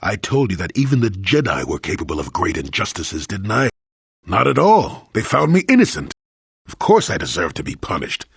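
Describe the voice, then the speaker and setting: An actor playing a gruff, rueful old former Jedi in a video game, an elderly storyteller with a gravelly voice and a wry, rambling, dramatic way of talking. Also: male voice, deep voice, wildly swooping pitch, normal speed, fairly bright tone, no echo, clean studio sound, heavily acted. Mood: bitter, self-reproachful. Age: older. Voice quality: gravelly, husky. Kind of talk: scripted video game voice acting.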